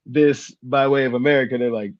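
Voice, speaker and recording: A man's voice: medium pitch, steady tone, no room sound, dull audio. A man who is a guest on a streamed show, chatting casually and agreeably. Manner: quick, agreeable, laughs along. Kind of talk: casual chat.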